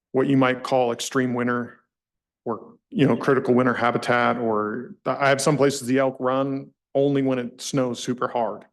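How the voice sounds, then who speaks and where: A man at a public commission meeting, talking through a complicated issue in a thoughtful, hedging way. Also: mid-range voice. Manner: thoughtful, self-questioning, hedging. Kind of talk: deliberative public meeting remarks.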